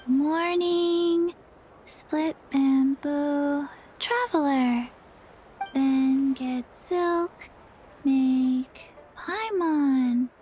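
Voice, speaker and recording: A tiny undead girl with a high voice, speaking extremely slowly in halting little phrases. She is deadpan and earnest, a forgetful game character, with music behind her. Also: rough recording. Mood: slow, flat. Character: small, slow-witted, deadpan zombie girl, forgetful and earnest. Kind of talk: video game voice acting.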